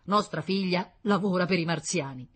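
A woman's voice: lowish voice, normal speed, fairly bright tone, husky, voice pushed up. A woman voicing a pompous, suspicious policeman father in an emphatic, accusatory storybook reading. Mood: grave, accusatory. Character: blustering, suspicious police officer father, self-important.

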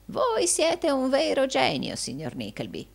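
A woman voicing a sly old male moneylender in an audiobook, gushing flattery in repeated exclamations. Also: sits high, unhurried, vocal fry, pitched above the performer's own voice, exaggerated voice. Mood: fawning. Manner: gushing, repetitive, exclaiming with groans and chuckles.

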